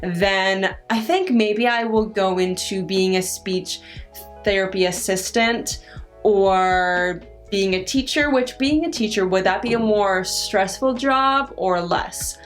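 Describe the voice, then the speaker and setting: A young woman answering viewer questions in a casual chat, stuttering on first sounds and restarting her sentences often. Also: mid-range voice. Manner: halting, many restarts and pauses. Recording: faint guitar music underneath.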